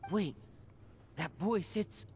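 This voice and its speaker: A woman's voice: medium pitch, slow pace, warm tone, faint music behind. A woman playing an idealistic, puzzled young female adventurer in a video game, earnest and questioning. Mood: uncertain.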